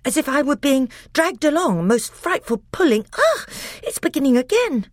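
A woman voicing a frightened, excitable schoolboy in a storybook reading, with breathless, urgent exclamations. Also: medium pitch, a little fast, slightly rough, no room sound, clean studio sound, voice pushed up. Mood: alarmed.

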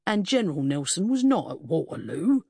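A woman's voice: low voice, very animated, normal speed, creaky, mellow, breathy edge, dry recording, studio quality, full character voice. A woman voicing a bluff working-class English police sergeant with a blunt military manner, in an audiobook. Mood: bellowing. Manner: blunt, military, prone to bellowing.

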